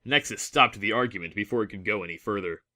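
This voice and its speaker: Deep, bright-voiced male story narrator. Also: fast talker. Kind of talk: scripted story narration, dramatic audiobook.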